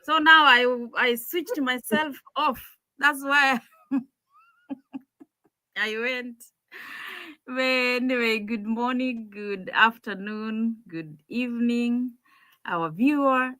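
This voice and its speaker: Bright, unhurried Kenyan woman. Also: fairly high pitch, dry recording. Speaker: co-presenter. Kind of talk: informal live webinar talk.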